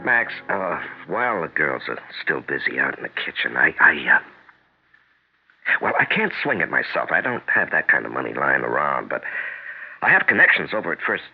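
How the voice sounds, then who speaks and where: A man's voice: sing-song, moderate pace, soft background music, heavily acted. A man playing a well-meaning, awkward middle-aged family friend with an American accent, hesitant and conciliatory, in 1970s radio drama style. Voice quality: deep, gravelly. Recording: narrow-band 1970s radio recording.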